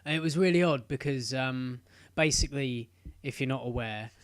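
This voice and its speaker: A man co-hosting a podcast in casual chat, halting with many ums, still getting over an illness. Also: medium pitch, clean tone, no echo, studio quality. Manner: halting, lots of ums and uhs. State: recovering from illness. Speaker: podcast co-host.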